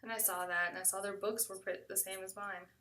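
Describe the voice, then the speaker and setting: Bright-voiced American woman telling a story. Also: medium pitch, steady tone, fairly quick, distant mic. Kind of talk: first-person storytelling, conversational.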